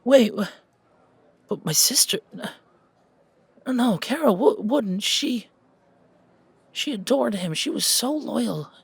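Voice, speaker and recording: Guy acting as a young guy in shock, raised husky voice, stuttery and breathy, audio rp. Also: very high-pitched, animated, no room sound, voice pushed up, full character voice. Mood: shocked disbelief. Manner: stammering, halting, breathy. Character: shocked, grieving young man, hesitant and loyal.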